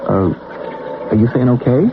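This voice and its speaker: An actor playing an anxious, obsessed working-class everyman in a radio drama, with colloquial, halting, stammering speech. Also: medium pitch, natural voice. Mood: nervous pleading. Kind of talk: old-time radio drama acting. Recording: tinny, noisy old radio broadcast recording.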